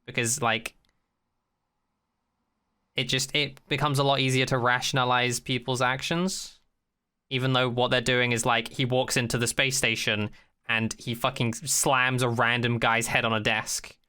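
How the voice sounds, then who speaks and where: A man co-hosts a casual podcast about television in a clear, bright voice at a middling pitch. He is opinionated and critical, dryly sarcastic at times, and swears freely as he talks. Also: level tone, moderate pace. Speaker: podcast co-host. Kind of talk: casual opinionated discussion of a TV show.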